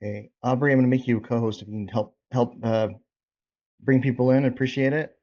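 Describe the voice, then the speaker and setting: A man's voice: medium pitch, fairly even intonation, a little fast, darkish tone. A man hosting a casual group coaching session over a video call, informal and encouraging as he checks in with participants. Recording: dull, lo-fi video call audio.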